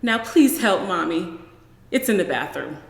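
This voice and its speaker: A woman's voice: lowish voice, very animated, measured pace, creaky, exaggerated voice. A woman voicing an anxious, bossy young mother who urgently orders and pleads with her son in a storybook reading. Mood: strained, coaxing. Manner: urgent, insistent, commanding. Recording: echoey, reverberant room.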